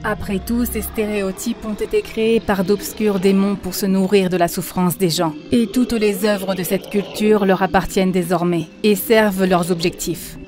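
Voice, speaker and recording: A gentle but preachy spiritual teacher from an animated film, as a woman plays her, lecturing her listeners earnestly. Her voice is of ordinary pitch and even, with faint music in the background. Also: close to an everyday voice. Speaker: narrator and teacher.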